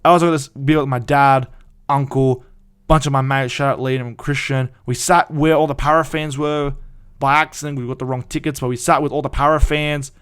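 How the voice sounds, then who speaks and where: A young man, hosting a casual solo fan podcast in an excited, rambling way with frequent restarts. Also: mid-range voice, a little fast, clean tone.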